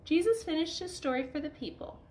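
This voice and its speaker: High-voiced woman reading to children. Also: lively intonation, brisk, darkish tone. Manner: addresses children with questions. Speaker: Bible story reader for children. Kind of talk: storytime reading with simple explanations. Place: living room by a fireplace.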